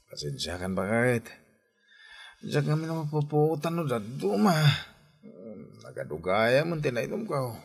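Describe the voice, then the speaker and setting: An actor playing a boozy, excuse-making husband in an Ilocano radio drama, drawling between mumbles and exclamations. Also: male voice, mid-range voice, wildly swooping pitch, unhurried, gravelly, long pauses, slightly rough, faint music behind, full character voice. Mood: sheepish.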